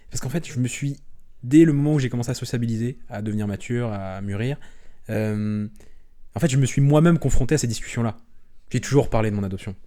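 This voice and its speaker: A young French man chatting openly as an interview guest, relaxed and rambling, with frequent restarts and fillers. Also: medium pitch. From France. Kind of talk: casual conversational interview.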